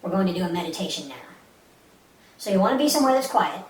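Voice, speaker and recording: Woman, lowish voice, meditation instructor, soothing repeated cues, echoey room, distant mic. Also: lively intonation, fast. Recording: roomy, distant mic with faint hiss.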